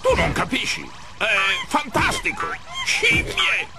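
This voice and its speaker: A man voicing a big, dim but good-hearted mutant animal in an animated game, blustering and excitable. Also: very high voice, slow pace, vocal fry, very noisy, full character voice. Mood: frantic. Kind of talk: animated game cutscene dub.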